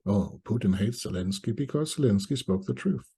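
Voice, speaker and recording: A middle-aged man from East Germany, giving reflective spoken commentary to viewers in deliberate short phrases with pauses. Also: lowish voice, animated, creaky, warm tone, breathy edge. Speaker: commentator presenting a video essay.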